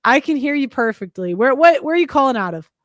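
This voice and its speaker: A woman's voice: sits high, animated, quick pace, dry recording, clean studio sound. A woman hosting a call-in show, talking casually with many likes and ums. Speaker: podcast host.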